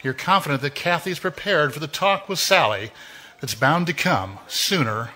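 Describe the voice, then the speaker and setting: A man's voice: a little high, lively intonation, pushed and bright. A man playing an all-knowing narrator who taunts a jealous woman in a live 1940s-style radio mystery. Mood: taunting. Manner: insinuating, measured, knowing. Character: mysterious omniscient male narrator taunting a killer.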